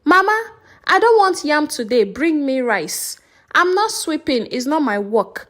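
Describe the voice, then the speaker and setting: A spoilt ten-year-old boy from a village folktale, played by a woman, high and very animated. He is petulant and orders people about like a small king. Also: a little slow, exaggerated voice. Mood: petulant demanding. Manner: demanding, petulant.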